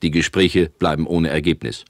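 An actor playing a grave documentary narrator in a radio comedy, solemn, ominous and deadpan with weighty pauses. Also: male voice, very deep voice, no room sound, studio quality. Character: grave male documentary narrator chronicling absurd small-town disasters. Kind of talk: scripted mock-documentary narration.

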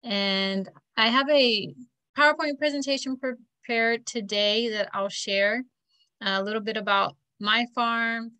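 A woman farmer in her thirties walks listeners through her work in a steady, plain-spoken way. Her voice is bright and clear, picked up dry and close by a laptop webcam on a video call. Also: mid-range voice, no echo. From Florida, US. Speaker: farmer and technical assistant.

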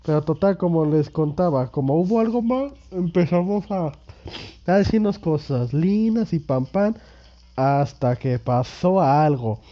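A man's voice: fairly high pitch, lively intonation, a little slow, highs cut off. Young Mexican man, sick, crude gaming chatter. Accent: Mexican Spanish. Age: 20s. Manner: rambling, crude slang, self-mocking. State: sick with a cold and sore throat. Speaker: gaming youtuber. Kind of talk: casual gameplay commentary.

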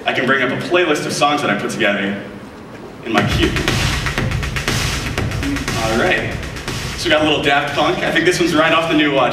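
A man's voice: fairly high pitch, recorded from a distance. A young man giving an upbeat, scripted product demonstration on a large keynote stage. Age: young adult. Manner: upbeat, enthusiastic presenter. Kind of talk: scripted live product demo. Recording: echoey hall audio picked up from afar.

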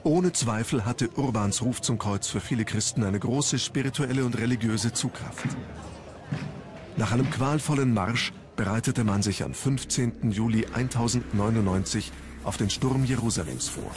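A man's voice: mid-range voice, moderate pace. A man reading scripted documentary narration as a narrator. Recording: loud music under narration. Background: loud background music.

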